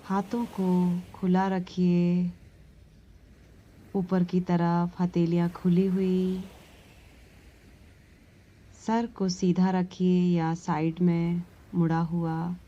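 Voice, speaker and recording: A woman leads a guided relaxation meditation, giving gentle, soothing instructions with long pauses between phrases. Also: lowish voice, little pitch movement, lo-fi sound. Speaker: yoga nidra meditation instructor.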